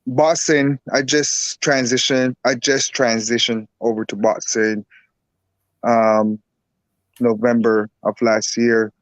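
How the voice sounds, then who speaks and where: A man from Barbados, a guest herbalist and boxer, talking in a relaxed, rambling way with lots of repeats. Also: mid-range voice, takes it slowly, no echo, low-quality recording. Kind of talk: casual interview talk.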